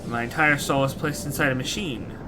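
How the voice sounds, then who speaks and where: A man voicing a courteous knight's soul housed in a machine, reading video game dialogue in a formal, measured manner. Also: medium pitch, steady tone, lightly acted. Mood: formal, reflective. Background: game music.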